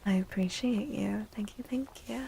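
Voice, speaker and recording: A woman streaming soft-spoken ASMR tarot readings in a breathy half-whisper, gentle and encouraging. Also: mid-range voice, normal speed, a little hiss or hum.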